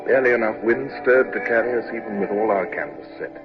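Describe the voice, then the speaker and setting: An actor playing a shrewd naval captain who also narrates, with a dry, understated manner, in an old radio drama. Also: male voice, on the low side, fast, over music, natural voice. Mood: calm. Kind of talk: 1950s radio drama acting. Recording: dull old radio transcription with faint hiss.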